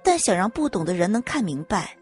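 Female storyteller, scripted dramatic narration, animated pitch, soft music behind. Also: brisk. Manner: expressive storytelling. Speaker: audiobook narrator.

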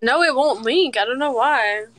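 A young woman as a guest on a phone livestream, asking the host quick, curious questions. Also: sits high, fairly bright tone, dry recording. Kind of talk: casual livestream chat.

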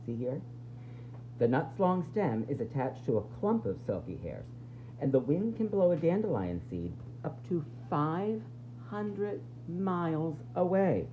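A woman's voice: very deep voice, sing-song, measured pace, muffled recording, slight background noise. A woman teacher reading a children's nonfiction book aloud, expressively explaining it and putting questions to young listeners.

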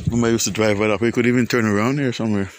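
A man's voice: lowish voice, steady tone, very fast. A man narrating a casual nature walk to his phone camera, rambling and thinking aloud with many fillers. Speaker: nature vlogger. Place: outdoors on a windy coast and scrub. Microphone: phone camera held by the speaker. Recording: loud wind and outdoor noise.

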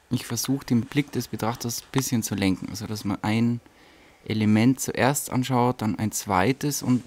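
A man, a painter, reflecting on his own work in a halting, searching interview manner. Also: mid-range voice, darkish tone, a little hiss or hum.

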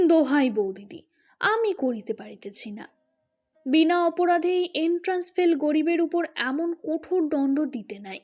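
High-voiced, unhurried woman narrator. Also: darkish tone. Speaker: audiobook narrator. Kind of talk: expressive scripted literary story narration. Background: music.

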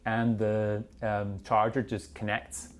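A man's voice: lowish voice, monotone, slow pace. A man in his thirties talking informally as a bike reviewer, often hedging with filler words like "like" and "kinda". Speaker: mountain bike magazine reviewer. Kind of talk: casual product review discussion. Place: small indoor studio workshop.

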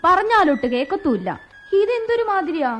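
An actress playing a coy, coaxing young wife in a radio drama. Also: female voice, extremely high pitch, very animated, fairly quick, slight background noise. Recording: muffled radio broadcast recording.

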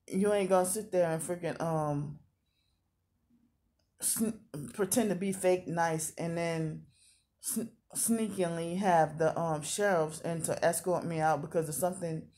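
A woman's voice: lowish voice, steady tone, unhurried, darkish tone. A woman in her thirties talking casually in a confessional vlog, rambling and repeating herself. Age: 30s. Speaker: vlogger.

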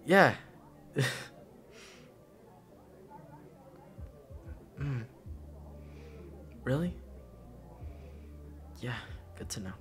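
Casting: male actor as young guy best friend for roleplay audio, affectionate and reassuring, mid-pitched, very lively intonation, rambling delivery. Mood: reassuring, tender. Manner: rambling, halting, repeats himself, slangy. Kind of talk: intimate ASMR roleplay acting, casual.

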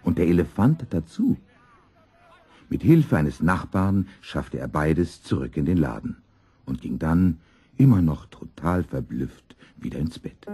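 A man narrating a scripted children's story as an expressive storyteller. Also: a bass voice.

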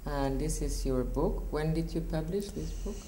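A woman's voice: deep voice, warm tone. Hesitant woman interviewer. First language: German. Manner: halting, with hesitant fillers. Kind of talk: interview hosting. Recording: echoey room, faint noise.

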